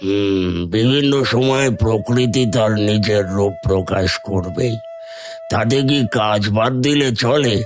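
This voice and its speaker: An actor playing a grave wandering holy man who admonishes in a measured way, in an animated folktale. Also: lowish voice, over music, close to an everyday voice. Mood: chiding. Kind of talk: Bengali animated folktale dubbing.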